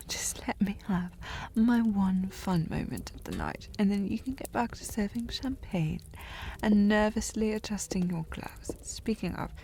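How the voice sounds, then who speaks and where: Slow-talking tipsy young noblewoman, played by an actress. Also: medium pitch, sing-song, creaky, dark tone, slight background noise. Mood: hushed, pleading. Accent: affected, sliding period accent. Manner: slightly slurred drunk delivery, playfully imperious, formal wording. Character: tipsy, haughty, teasing young noblewoman of a bygone era. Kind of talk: intimate audio roleplay, period drama.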